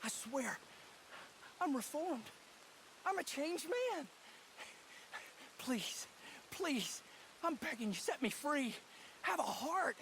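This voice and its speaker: A man's voice: very high-pitched, very animated, takes it slowly, creaky, long pauses, a bit bright, a little hiss or hum, exaggerated voice. A man playing a slippery, wheedling outlaw who bargains and pleads in a video game western. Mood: desperate pleading. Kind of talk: video game cutscene acting.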